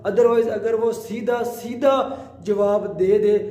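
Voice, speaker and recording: A man's voice: sits high, steady tone, slow pace, warm tone. A man with a Pakistani accent giving an informal explanatory law lecture, repeating and rephrasing himself and mixing in English terms. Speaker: law teacher. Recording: roomy, echoing sound.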